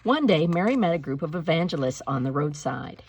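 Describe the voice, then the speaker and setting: A woman reading a storybook aloud like a teacher, with deliberate, expressive phrasing and instructive delivery. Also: sits low, slightly rough. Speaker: teacher reading a picture book aloud to pupils.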